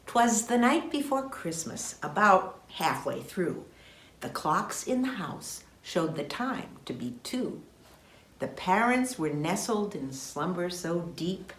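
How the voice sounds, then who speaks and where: Female storyteller for rhyming children's verse: unhurried, animated intonation, measured and expressive. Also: mid-range voice. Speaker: author reading own story.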